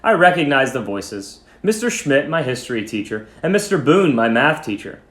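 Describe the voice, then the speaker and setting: Male story reader: highly varied intonation, expressive and deliberate, recorded in a roomy, echoing space. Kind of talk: dramatic reading of a short story. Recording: roomy echo.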